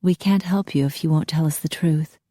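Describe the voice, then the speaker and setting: A woman's voice: sits low, a little fast, mellow, no echo. A woman voicing a young female police officer who speaks firmly and urgently. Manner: firm, urgent, commanding.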